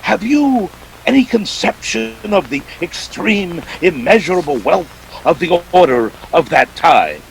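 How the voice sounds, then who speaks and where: Gravelly, pompous fat crime boss. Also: male voice, sits high, sing-song, unhurried, a little hiss or hum, full character voice, low-quality recording. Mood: grandiloquent relish. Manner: pompous, courtly, chuckling formality. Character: genteel, verbose fat male crime boss, slyly jovial. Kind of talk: 1940s radio villain acting.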